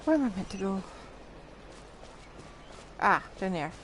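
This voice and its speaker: A woman's voice: medium pitch, very animated, unhurried, noisy background. A woman streaming a game with casual, playful commentary, chatting back at the characters. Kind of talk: casual live gameplay commentary. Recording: prominent game music behind.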